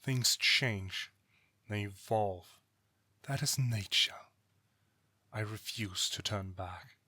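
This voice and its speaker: A man's voice: fairly low pitch, bright, forceful tone, dry recording, exaggerated voice. A man playing an ancient god in an audio drama, a bitter, weary brother who speaks formally and solemnly without remorse. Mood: angry, resolute. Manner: formal archaic diction, grave, deliberate. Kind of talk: dramatic audio roleplay acting.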